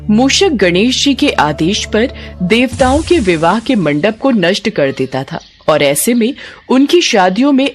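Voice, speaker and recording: Expressive woman narrator over music. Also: mid-range voice. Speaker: storyteller narrator. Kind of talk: scripted devotional story narration.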